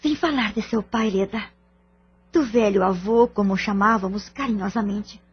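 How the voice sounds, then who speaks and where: Anxious Brazilian mother played by a woman, formal audio-drama delivery, slightly husky, dull lo-fi sound. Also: medium pitch, normal speed. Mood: worried, confiding. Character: Idalina, anxious polite wife and mother. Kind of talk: audio-novel acting, formal scripted dialogue.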